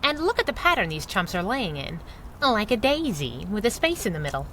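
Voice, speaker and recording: A woman's voice: mid-range voice, very animated, brisk, fairly bright tone, a little hiss or hum, low-quality recording. A woman playing a brash masked crimefighter who cracks wise in snappy period slang in a radio adventure serial. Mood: deductive, teasing. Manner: snappy 1930s slang, wry. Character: brash, wisecracking female masked crimefighter sidekick.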